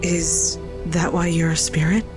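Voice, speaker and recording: A woman's voice: deep voice, monotone, measured pace, over music. A woman playing a bold, curious young girl, earnest and unguarded, in a fantasy audio drama.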